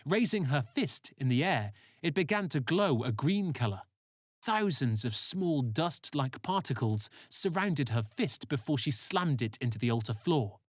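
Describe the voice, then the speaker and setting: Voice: medium pitch, sing-song, a little slow, no room sound, clean studio sound. An author reading their own story aloud as scripted audiobook narration.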